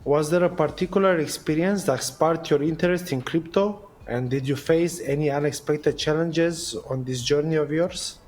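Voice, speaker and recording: A man's voice: fairly high pitch, moderate pace, hardly any pauses, darkish tone, a little hiss or hum. Man hosting, formal read delivery. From Romania. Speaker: podcast host. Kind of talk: scripted interview intro and questions.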